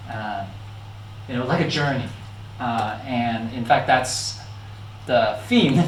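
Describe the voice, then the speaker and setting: A man from Hong Kong with a Hong Kong accent, giving a friendly, halting talk with slides, full of fillers and restarts, with frequent laughs. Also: medium pitch, slight background noise. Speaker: guest speaker. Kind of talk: informal lecture with slides. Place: church hall. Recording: echoey hall, mic at a distance.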